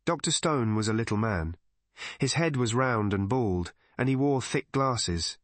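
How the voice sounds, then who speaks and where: A man's voice: mid-range voice, expressive, unhurried, dry recording. A man narrating a scripted mystery story as an audiobook reader.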